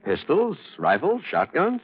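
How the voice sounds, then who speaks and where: A man's voice: on the high side, huge pitch swings, a little slow, breathy edge, heavily acted. A man playing a polite, eager gun shop clerk in a radio thriller, deferential and hesitant. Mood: obliging, eager. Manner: deferential, hesitant, folksy salesman. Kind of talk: old radio thriller acting. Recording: muffled, faintly noisy old radio recording.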